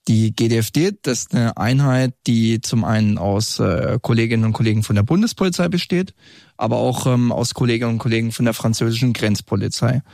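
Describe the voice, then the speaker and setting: A man's voice: mid-range voice, a little fast, gravelly, a little mellow, no room sound, clean studio sound. A man, a police group leader, giving explanatory answers as a guest in an interview. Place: studio.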